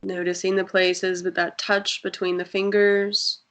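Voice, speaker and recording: A woman guiding a breathing meditation in a soft, soothing voice with long pauses. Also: medium pitch, little pitch movement. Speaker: meditation guide.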